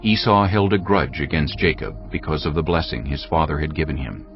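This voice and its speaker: Solemn bible narrator guy, super low and gravelly, music behind, slightly dull audio. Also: a little fast. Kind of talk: solemn scripted scripture narration.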